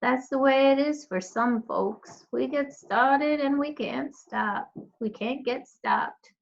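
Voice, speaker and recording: A woman voicing a kindly, regretful older woman in a storybook, folksy and gently admonishing. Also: high-pitched, slow pace, gravelly, dull audio, voice pushed up, full character voice. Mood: candid, gentle.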